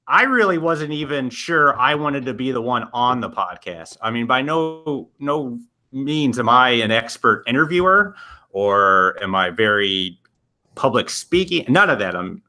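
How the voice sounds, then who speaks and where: A man's voice: medium pitch, lively intonation, unhurried, sharp tone. A man who hosts a podcast, chatting casually and a bit self-deprecatingly on a video call. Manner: rambling, self-deprecating, restarts often. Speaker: podcast host and editor. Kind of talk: casual interview chat.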